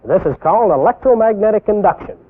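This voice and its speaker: A young American man giving scripted instructional narration as a military instructor, deliberate and didactic, posing rhetorical questions. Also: sits high, expressive. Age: young adult. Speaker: military instructor presenting a training film. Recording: tinny band-limited 1960s film soundtrack.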